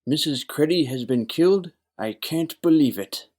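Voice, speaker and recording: A man's voice: on the high side, animated, normal speed, darkish tone. A man playing a naive, gossipy young bartender in a noir audio play, earnest and emphatic.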